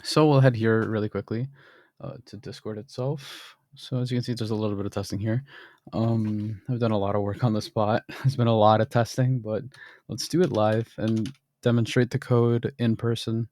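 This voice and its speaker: A young man with a fairly low voice walks through a screen-recorded software demo in a casual, explanatory way. He fills pauses with ums and keeps clearing his throat. The sound is dry and close, with no room behind him. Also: fairly even intonation, no echo. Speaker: software developer demoing his bot.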